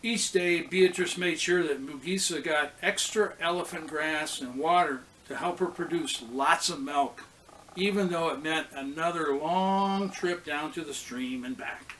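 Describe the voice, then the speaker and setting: A man's voice: fairly high pitch, unhurried, fairly bright tone, a little hiss or hum, low-quality recording. A man reading a storybook aloud to children as a pastor, expressively acting out the characters' lines.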